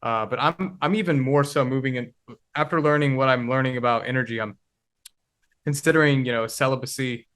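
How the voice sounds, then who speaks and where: Male guest, 20s, reflective and halting, plain mid-pitched voice. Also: a bit bright, smooth. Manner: reflective, halting, searching for words. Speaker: podcast guest. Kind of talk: casual conversation.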